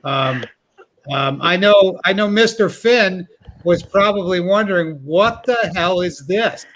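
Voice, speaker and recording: Host, male, slightly high voice, casual jokey panel talk. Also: measured pace, a bit bright, poor audio. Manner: joking, teasing sales pitch. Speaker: host of live call-in stream.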